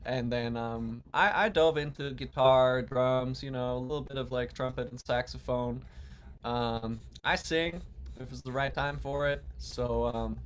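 A man's voice: mid-range voice, fairly even intonation, unhurried, clean tone. A young man from Vienna, Austria, chatting casually as a guest musician and producer. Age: young adult. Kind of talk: casual podcast chat.

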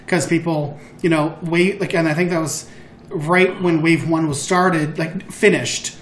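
Podcast co-host, mid-range voice, animated and sweary casual storyteller, roomy echo with some noise. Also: mid-range voice, a little slow. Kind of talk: casual group chat.

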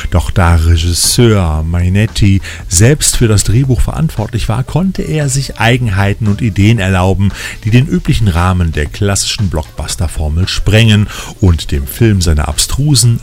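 A man's voice: low-pitched, expressive, normal speed, few pauses, a little mellow. A man reading playful, scripted film release news as the host of a weekly show. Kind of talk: scripted upbeat review narration.

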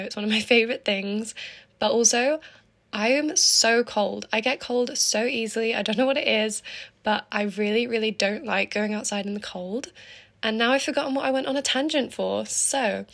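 A teenage girl with an English accent talks through her solo podcast in a chatty, rambling way. Her voice is hoarse and gravelly from a cold, sitting a little high. She drifts off on tangents as she goes. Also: a bit bright. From England. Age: teens. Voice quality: raspy. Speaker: podcast host. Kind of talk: casual solo podcast chat.

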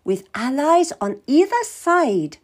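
Lady reading as a sly queen acting all meek and sweet, high and sing-songy, slow. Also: big pitch swings, heavily acted. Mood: sweetly guileful. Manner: lilting, sweetly deferential, slow and measured. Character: regal queen, shrewd, feigning meekness. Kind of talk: audiobook character voice.

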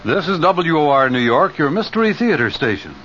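Animated, higher-pitched male radio ad announcer. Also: a little fast, muffled recording. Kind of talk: scripted supermarket commercial read. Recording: band-limited 1970s radio broadcast with music.

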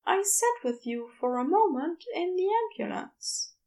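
A woman voicing a gentle, earnest, bashful man with tender, hesitant phrasing in an audiobook. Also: high-pitched, very animated, unhurried, vocal fry, full character voice. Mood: tender, relieved. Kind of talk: audiobook character dialogue.

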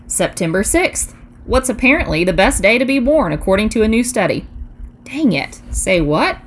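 A woman's voice: medium pitch, a little hiss or hum. A woman hosting a scripted news digest podcast in a casual, chatty way with playful asides.

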